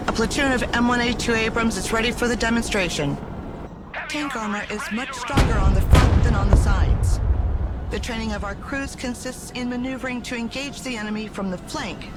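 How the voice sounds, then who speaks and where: A woman's voice: a little high, natural voice. A woman playing a composed army major who explains weapons formally in a scripted video game. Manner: formal, explanatory military briefing. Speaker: military officer and instructor.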